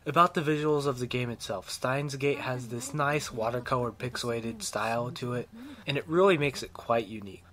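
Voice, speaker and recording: Male game reviewer, medium pitch, delivering scripted, opinionated commentary with a fan's enthusiasm. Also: normal speed, a bit bright.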